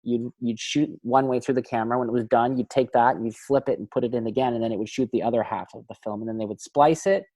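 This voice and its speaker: A man's voice: medium pitch, steady tone, fast, few pauses, darkish tone, no echo. A man from Canada talks as a guest in a casual interview, telling stories about his start in film. He often thinks aloud and circles back with fillers. The sound is dry and close, with no room around him. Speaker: film director and cinematographer, guest.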